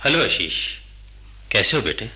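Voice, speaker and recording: An authoritative, fatherly doctor in a radio play. His voice is lowish, very sharp and forceful, with a husky grain, and he instructs as to a child. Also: big pitch swings, measured pace, heavily acted. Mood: brisk, familiar. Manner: instructive, slightly patronising. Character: brisk, paternal, authoritative hospital doctor. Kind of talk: radio drama acting.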